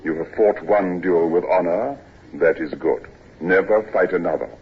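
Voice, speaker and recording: An actor playing a weary, ailing older naval captain, stern but kindly, in a measured, hesitant old-time radio drama style. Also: male voice, low voice, a little hiss or hum, natural voice. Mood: firm, admonishing. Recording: dull old radio recording.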